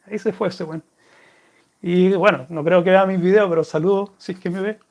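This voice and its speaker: A man's voice: high-pitched, fairly even intonation, brisk, a little mellow, lo-fi sound. A man with a Chilean accent, chatting casually to viewers and answering their questions in a rambling, friendly way. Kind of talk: casual chat to camera.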